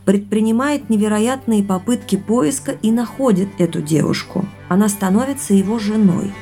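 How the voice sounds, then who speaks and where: A woman's voice: mid-range voice, moderate pace, dark tone, close to an everyday voice. An actress playing a thoughtful young woman in a naturalistic audio drama, speaking in a reflective, earnest way. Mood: absorbed reading aloud. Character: thoughtful young woman sharing family mystery with boyfriend. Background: music underneath.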